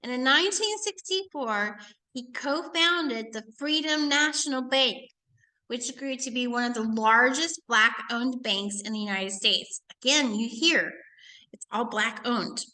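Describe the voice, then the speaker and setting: A woman reading a children's book aloud in an expressive, emphatic teaching manner with casual asides. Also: on the high side, measured pace, rough recording.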